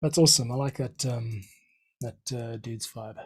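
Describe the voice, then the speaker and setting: A man's voice: medium pitch, fairly even intonation, moderate pace, a little hiss or hum. A man hosting and producing a podcast, chatting casually and giving informal, encouraging direction to a guest. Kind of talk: casual chat, coaching a guest.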